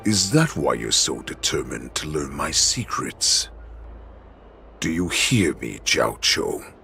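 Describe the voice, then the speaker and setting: Very deep, gravelly wolfish warlord. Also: male voice, sing-song, slow pace, pushed and bright, faint music behind, exaggerated voice. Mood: probing, menacing. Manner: menacing, theatrical, savoring each phrase. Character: cruel, gloating wolfish warlord villain, savoring others' despair. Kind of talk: dramatic video game voice acting.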